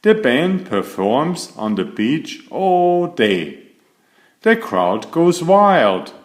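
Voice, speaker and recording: A man reading a children's story aloud in an expressive, deliberate way for young listeners. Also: a little high, echoey room.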